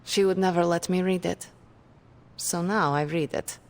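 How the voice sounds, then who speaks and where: Casting: actress as bitter young game sorceress, low creaky voice, haughty and curt. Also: female voice, steady tone, normal speed, a little hiss or hum, close to an everyday voice. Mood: bitter, guarded. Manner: curt, disdainful. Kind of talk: video game cutscene acting.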